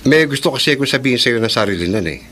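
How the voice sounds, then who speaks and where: A man's voice: medium pitch, lively intonation, rapid-fire, sharp tone. A man acting a grave, worried adult in a horror radio drama, speaking earnestly. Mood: grave, warning.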